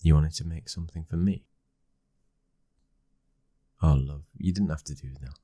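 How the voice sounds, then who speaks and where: Very deep, husky British actor as mafia heir. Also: male voice, moderate pace, dark tone. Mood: tender, teasing. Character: commanding mafia boss's son, stern but loving husband. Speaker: ASMR roleplay voice actor. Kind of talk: intimate ASMR boyfriend roleplay acting.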